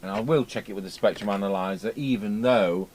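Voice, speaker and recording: A man's voice: on the low side, brisk, darkish tone. A man giving a casual hands-on repair demonstration as a technician, thinking aloud as he works. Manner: muttering to himself, thinking aloud while working. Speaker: radio repair technician presenting. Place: indoors at a repair workbench. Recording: clear background noise, workshop ambience.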